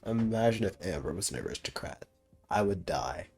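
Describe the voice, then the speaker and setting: Young man, lowish voice, animated, joking gamer commentary, slangy, faint music behind. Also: normal speed, vocal fry. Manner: joking, teasing, swearing, slangy. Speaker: gaming video host. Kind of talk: casual game reaction commentary.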